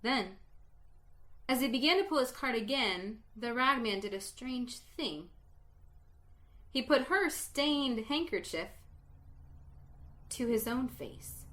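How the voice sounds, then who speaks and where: Woman story reader, slow and deliberate, bright tone, dramatic pauses. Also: a little high, expressive. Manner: slow, dramatic pauses, hushed at sad parts. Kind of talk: storytelling reading.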